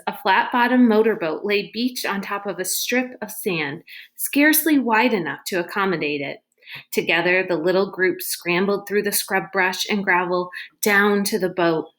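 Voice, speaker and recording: A woman's voice: mid-range voice, a bit bright. Woman narrating a children's novel aloud.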